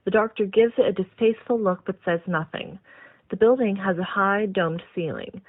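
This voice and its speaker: A woman's voice: mid-range voice, moderate pace, phone-quality sound. A woman reading scripted scene narration for an audio drama, describing action as a narrator.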